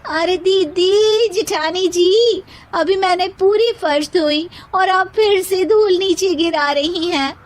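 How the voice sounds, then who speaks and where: A woman's voice: extremely high pitch, slow pace, voice pushed up. A woman voicing squabbling young daughters-in-law in a cartoon story, with a whiny, touchy, complaining manner.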